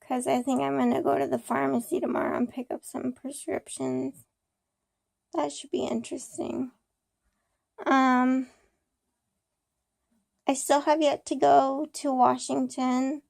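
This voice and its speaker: A young woman with a cold, hoarse, rambling about her day in a casual personal vlog with many ums and restarts. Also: sits high, a little mellow, dry recording, clean studio sound. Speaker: vlogger.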